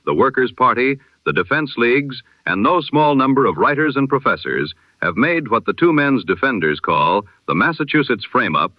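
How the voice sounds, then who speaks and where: A man reads a scripted news bulletin in 1920s radio style, formal and solemn, with measured oratorical delivery through an old-time radio filter. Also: on the low side, no echo. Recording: dull, band-limited vintage radio sound.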